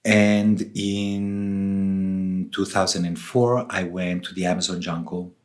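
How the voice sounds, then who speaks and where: A man from southern Switzerland with a low voice answers as an interview guest. He speaks very slowly and deliberately, setting out reflective thoughts in short phrases with pauses between them. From Switzerland, southern part. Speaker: author and ayahuasca facilitator, interview guest.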